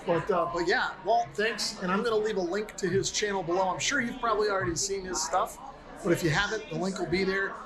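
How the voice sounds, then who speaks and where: High-voiced man, casual vlogger. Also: fairly quick, music in the background. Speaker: video host and radio operator. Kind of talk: casual vlog narration and radio chat. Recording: clear car and outdoor background noise.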